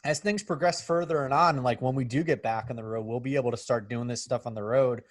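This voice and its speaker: A man's voice: mid-range voice, steady tone, fast, no echo, studio quality. A man chatting casually on a video call, easygoing and rambling. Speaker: band member hosting a video chat. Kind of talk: casual chat.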